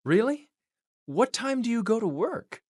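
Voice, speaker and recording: A woman's voice: fairly high pitch, big pitch swings, measured pace, a little mellow, breathy edge, dry recording, studio quality, exaggerated voice. A woman voicing a curious conversation partner in a scripted English lesson dialogue.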